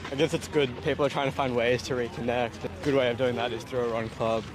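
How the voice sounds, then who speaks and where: A man interviewee speaking calmly and thoughtfully outdoors in a city. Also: medium pitch, quick pace, background noise. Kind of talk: casual interview. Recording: outdoor clip with music.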